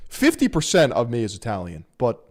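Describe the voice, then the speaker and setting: A man's voice: mid-range voice, huge pitch swings, brisk. Slangy joking American streamer guy. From United States. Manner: slangy, joking, swears freely. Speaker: streamer reacting to a video. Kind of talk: casual reaction commentary.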